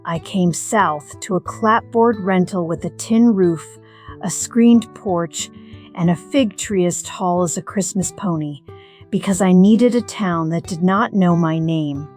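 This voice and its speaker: A woman playing a middle-aged retired firefighter, narrating a scripted first-person story in a measured, reflective, wry way. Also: lowish voice, a little mellow, soft background music, close to an everyday voice. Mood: reflective, wistful.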